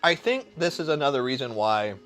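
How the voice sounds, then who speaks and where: A man's voice: mid-range voice, animated, brisk, fairly bright tone, faint music behind. A man who is a chef, cooking on a live stream and chatting with viewers in a crude, joking, profane way. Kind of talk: casual livestream cooking chat.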